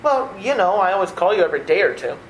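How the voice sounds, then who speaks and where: A woman plays a flustered, exasperated nephew in a radio play, halting and repeating himself. The recording is echoey with faint noise. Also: mid-range voice, very animated, lightly acted. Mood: flustered, dismissive. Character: flustered, exasperated nephew, a harried theater critic. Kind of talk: old-time radio theater acting.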